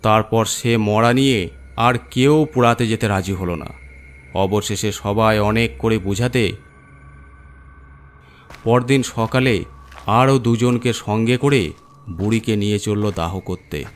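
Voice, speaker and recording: A man's voice: lowish voice, slow pace, long pauses. A man narrating a scripted horror story as its storyteller.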